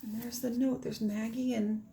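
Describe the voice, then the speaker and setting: A woman's voice: a little high, normal speed, gravelly. Woman reading a picture book aloud. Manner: expressive, pointing out pictures to listeners. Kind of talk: children's read-aloud with casual asides.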